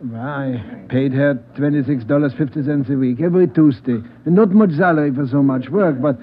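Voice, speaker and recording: An actor playing a kindly, earnest, grieving restaurant owner in an old radio drama. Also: male voice, fairly high pitch, fairly quick, phone-quality sound, natural voice. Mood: earnest, saddened. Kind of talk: 1940s-50s radio drama acting. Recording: thin, noisy vintage radio recording.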